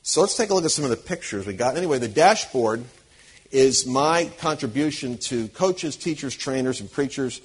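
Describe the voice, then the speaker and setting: Husky-voiced man teaching a church audience. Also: mid-range voice, rough recording. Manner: earnest, emphatic and conversational, with frequent restarts.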